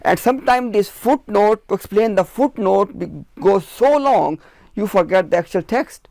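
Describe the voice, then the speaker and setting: A man's voice: sits high, normal speed, creaky, darkish tone, dry recording. A man, a researcher, explaining his points at length in a discussion, methodical and firm, counting them off one by one. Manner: emphatic, firm. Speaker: researcher of religious literature.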